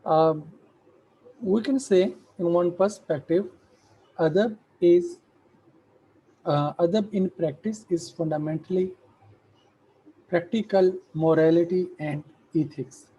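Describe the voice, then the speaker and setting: Slow, husky male lecturer. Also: sits high, warm tone, lo-fi sound. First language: Urdu. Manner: slow, measured, with hesitant fillers. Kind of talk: academic lecture.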